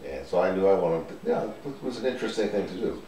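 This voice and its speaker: An older man with a very deep voice recalls his career in a relaxed, unhurried way. He sits back from a distant camera, and the living room around him sounds roomy. A faint hum sits under his words. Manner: easygoing, unhurried storytelling with restarts. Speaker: interviewee, former astronaut. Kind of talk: relaxed conversational interview. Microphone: far camera.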